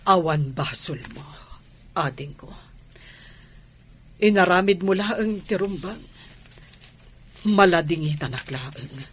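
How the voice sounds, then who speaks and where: A woman with an Ilocano accent playing a sorrowful, forgiving older sister in a radio drama, subdued and measured. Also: fairly low pitch, expressive, husky, exaggerated voice.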